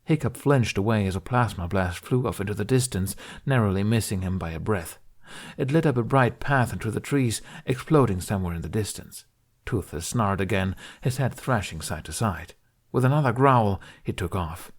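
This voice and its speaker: A man reading a fantasy story aloud in a calm, measured way. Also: fairly low pitch. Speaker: podfic reader. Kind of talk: storybook narration.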